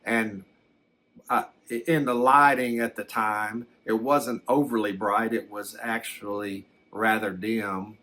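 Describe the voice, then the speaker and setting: A man's voice: lowish voice, fairly even intonation, sharp tone. A man playing a folksy, plain-spoken country fellow who hesitantly recounts his alien abduction as an ASMR story. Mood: bemused, hesitant. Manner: hesitant, halting, plain-spoken rural phrasing. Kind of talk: slow ASMR first-person storytelling.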